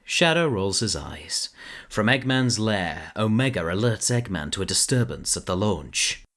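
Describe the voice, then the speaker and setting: A man's voice: fairly low pitch, very animated, measured pace, a bit bright. A man reading scripted narration for a fan audio drama.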